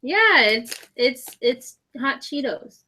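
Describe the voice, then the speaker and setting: A woman's voice: sits high, very animated, slow, sharp tone. A woman hosting a casual online hangout, giving short playful replies and laughing. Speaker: host of the hangout.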